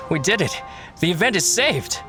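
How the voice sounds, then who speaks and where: A man's voice: high-pitched, sing-song, measured pace. A man playing an earnest, deferential young assistant in a video game, eager and respectful. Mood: eager, relieved. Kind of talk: scripted game dialogue. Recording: faint music behind.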